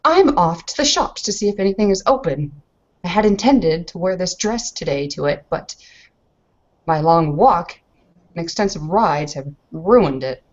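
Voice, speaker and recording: A woman as haughty young noblewoman, lowish voice, clipped and proper, quick to anger, tabletop roleplay, dull lo-fi sound. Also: lively intonation. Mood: haughty, annoyed.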